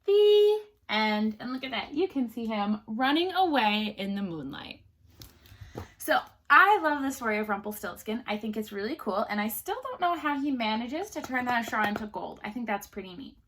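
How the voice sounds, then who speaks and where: A woman's voice: a little high, expressive, moderate pace, a bit bright. A woman teacher reading a story aloud to children, expressively, with chatty questions to the listeners.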